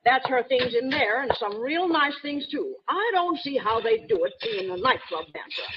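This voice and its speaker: A woman's voice: sits high, expressive, normal speed. An actress playing a chatty, homely landlady who shows a room to rent, on an old radio drama recording. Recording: dull, faintly noisy 1940s radio broadcast.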